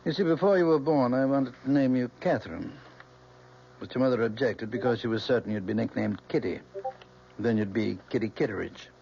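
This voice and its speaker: An actor playing a gentle, persuasive middle-aged father, a professor, in a tender and measured radio drama style. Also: mid-range voice, vocal fry, close to an everyday voice. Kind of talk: 1970s radio drama acting. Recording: dull old radio broadcast, faint hum.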